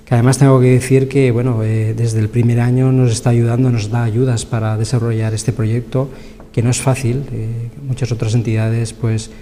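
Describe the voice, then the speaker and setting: A man's voice: medium pitch, dark tone. Muffled-toned Spanish man in a reverberant hall. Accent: Spain. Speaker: university event coordinator, interviewee. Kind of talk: conversational interview answers. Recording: reverberant hall, clear background noise.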